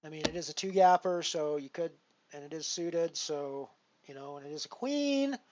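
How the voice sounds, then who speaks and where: A man's voice: on the high side, animated, normal speed. Man, 50s, Albertan Canadian accent, chatty poker streamer, dull lo-fi audio, faint hiss. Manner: chatty, greets viewers warmly by name. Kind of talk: casual live-stream commentary.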